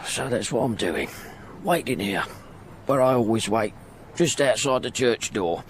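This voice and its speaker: A man's voice: mid-range voice, lively intonation, unhurried, close to an everyday voice. Man acting humble working-class porter, rambling folksy dad type, radio play, noisy ambience behind. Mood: chatty, stoic. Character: poor, humble ageing errand porter, devoted father. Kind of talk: radio drama acting, first-person monologue.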